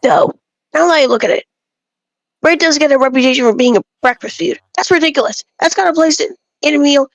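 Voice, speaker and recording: A woman with a distinctly high voice reads scripted character dialogue aloud. She delivers it as an amateur dramatic reading, like a monologue addressed to one listener. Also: a little fast, creaky, dry recording.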